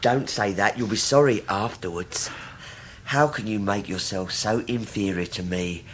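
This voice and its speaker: An actor playing an oily, falsely humble scheming young clerk in a period radio drama, with a wheedling, sanctimonious manner. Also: male voice, on the low side, unhurried, a little hiss or hum, close to an everyday voice, poor audio. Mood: sanctimonious, forgiving.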